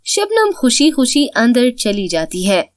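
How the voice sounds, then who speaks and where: A woman's voice: a little high, big pitch swings, slow pace, no room sound, studio quality. A woman reading scripted narration for a children's cartoon story.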